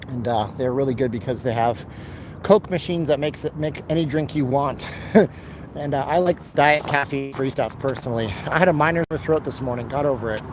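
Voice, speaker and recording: Guy walking and talking into his phone, dry throaty voice, traffic roaring past. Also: on the high side. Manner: chatty, rambling, answers live chat comments. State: dry throat, clears it often. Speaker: vlogger live streaming a city walk. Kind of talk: casual live stream chat while walking. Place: outdoors by a busy boulevard. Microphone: handheld phone. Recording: outdoor phone recording with traffic noise. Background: passing cars and street traffic.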